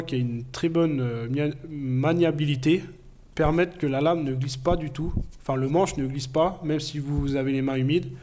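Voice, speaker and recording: A man's voice: a little high, mellow. A man who has a cold, reviewing a knife in a plain, earnest way with frequent hesitations. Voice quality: hesitant, many fillers. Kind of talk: casual product review.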